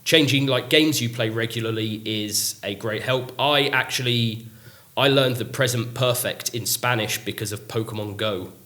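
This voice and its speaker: A chatty man talks over a podcast, trailing off into ums and likes. His voice sits at an everyday middle pitch, and he pokes fun at himself. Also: moderate pace, bright, forceful tone. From England. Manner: chatty, lots of ums and likes, self-mocking. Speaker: English teacher. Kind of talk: casual podcast chat.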